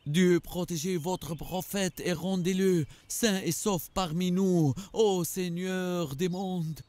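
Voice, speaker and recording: Slow, high-voiced devout townsman. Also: steady tone, a little mellow. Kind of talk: animated religious drama acting.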